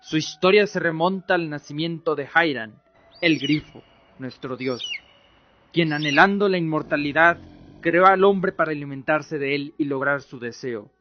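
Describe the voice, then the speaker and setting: A man narrating a scripted fantasy radio drama in a solemn, measured way. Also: on the high side. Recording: dull lo-fi recording with music. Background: music bed.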